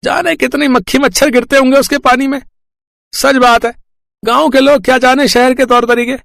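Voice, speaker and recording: A man voicing a boastful, scheming male panipuri seller in an animated village story, pushy and loud. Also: extremely high pitch, moderate pace, vocal fry, no echo, clean studio sound, full character voice. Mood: smooth-talking. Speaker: cartoon voice actor.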